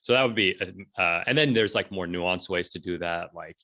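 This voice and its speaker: A man in his forties speaking casually as an interview guest, telling stories in an animated way and acting out bits of dialogue. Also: deep voice, moderate pace. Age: 40s. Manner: animated storytelling, acts out quoted dialogue, often checks in with "right?". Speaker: entrepreneur and blogger being interviewed. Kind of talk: casual conversational interview talk.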